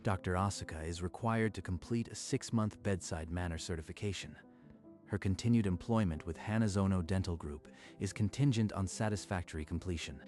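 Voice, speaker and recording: A man narrating a scripted story in a measured, even manner. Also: low-pitched, soft background music.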